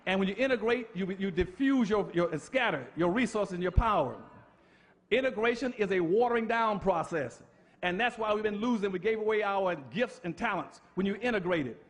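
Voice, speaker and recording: A man's voice: high-pitched, moderate pace. A man giving an impassioned public lecture, emphatic and preacher-like, firing off rhetorical questions.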